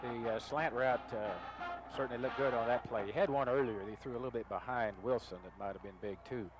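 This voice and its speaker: A man giving calm, measured color commentary on a football radio broadcast. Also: medium pitch, soft background music. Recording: noisy stadium broadcast.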